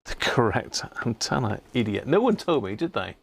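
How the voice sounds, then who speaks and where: A man's voice: mid-range voice, very animated, normal speed, gravelly, breathy edge. A British man hosting a casual livestream, thinking aloud in a rambling, self-mocking mutter. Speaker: livestream host showing radio gear.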